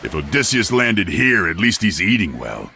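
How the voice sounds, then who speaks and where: An actor playing a blunt, wry warrior companion with a gravelly voice in a video game cutscene. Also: male voice, low-pitched, big pitch swings, normal speed, a little hiss or hum, heavily acted. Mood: dry. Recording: loud music behind the voice.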